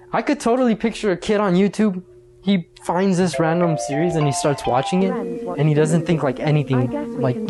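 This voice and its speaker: A man's voice: on the high side, darkish tone, a little hiss or hum. A man hosting a casual video essay, talking in an informal, conversational and slangy way.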